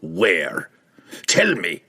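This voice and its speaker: A cruel fantasy guildmaster, acted out by a male narrator in a husky voice that swings wildly in pitch. He is imperious and volatile, dragging his words out slowly with theatrical menace, as a storybook villain commanding his underlings. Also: wildly swooping pitch, pushed and bright, exaggerated voice. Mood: outraged. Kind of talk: fantasy audiobook character acting.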